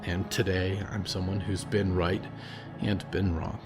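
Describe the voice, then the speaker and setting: A man's voice: low voice, flat delivery, unhurried, over music. A man voicing a thoughtful woman looking back on her past choices. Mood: reflective. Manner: earnest, thoughtful. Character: woman, reflective, remorseful former zealot.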